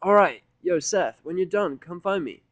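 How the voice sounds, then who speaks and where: A man voicing a brash, boastful, energetic female cartoon pegasus pony in an audiodrama. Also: high voice, moderate pace, no echo, lo-fi sound, pitched above the performer's own voice. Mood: excited, brash. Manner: boastful, exuberant, self-important.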